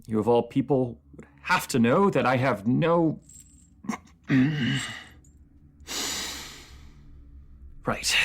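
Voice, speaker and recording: A man playing a proud, bitter captive ruler and former mercenary in a fantasy audio drama, speaking formally and sometimes haltingly. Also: a little high. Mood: defensive. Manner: halting, stammering when flustered, formal fantasy diction.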